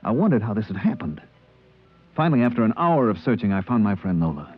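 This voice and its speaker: Male outspoken space crewman, dramatic and lively, vintage radio sci-fi, dull recording with faint music. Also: lowish voice, quick pace. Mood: grave narration. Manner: emphatic, questioning, dramatic. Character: incredulous, outspoken male spaceship crewman telling his story. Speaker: actor narrating and playing the lead. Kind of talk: 1950s radio drama acting.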